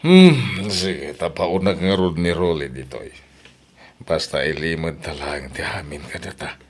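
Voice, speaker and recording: A man's voice: deep voice, expressive, a little slow, husky, heavily acted. A man with a Filipino accent playing a doting, secretive rich married man in an audio drama, sweet-talking as he goes. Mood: businesslike, accommodating.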